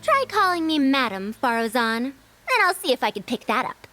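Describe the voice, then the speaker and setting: A high, animated game-character voice, a scholar over a century old who talks down to youngsters. She is haughty and teasing, with her pitch leaping all around, as loud music plays behind. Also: brisk. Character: proud scholar woman, century old, youthful.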